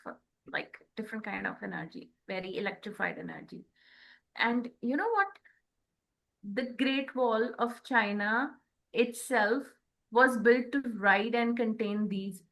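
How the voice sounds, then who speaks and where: A woman with an Indian accent giving an explanatory spiritual talk in a deliberate, halting manner, often checking in with short questions. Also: mid-range voice, lively intonation, vocal fry, fairly bright tone. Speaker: co-host.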